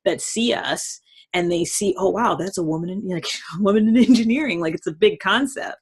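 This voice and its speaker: A woman with a husky voice speaking as the host of a conversational one-on-one discussion. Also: mid-range voice, normal speed. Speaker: host of a personality-type dialogue series. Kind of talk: conversational interview.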